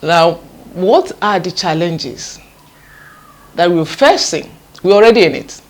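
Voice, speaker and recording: A woman's voice: lowish voice, lively intonation, slow pace. A woman, interviewing a guest, who often restarts her questions and affirms the answers. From Nigeria.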